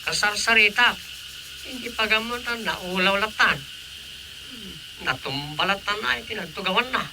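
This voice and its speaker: Radio comedy lead: exasperated Ilocano village councilor, creaky yet bright, forceful voice, always questioning and exclaiming. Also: male voice, high voice, moderate pace, a little hiss or hum, heavily acted. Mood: resigned, exasperated. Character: male village councilor, exasperated comic everyman. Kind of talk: radio comedy-drama acting.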